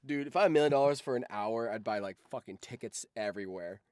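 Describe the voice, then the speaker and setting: A man's voice: mid-range voice, fast talker, darkish tone, smooth, no echo. A man hosting a livestream in casual chat, rambling and swearing freely as he talks. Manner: rambling, profane. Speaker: livestream host.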